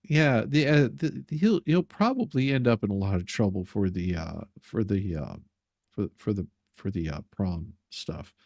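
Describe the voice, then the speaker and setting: A man chatting casually as a livestream host, with a halting, sarcastic manner that often repeats words. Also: fairly low pitch, expressive, creaky, a little mellow, no room sound, clean studio sound. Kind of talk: casual banter.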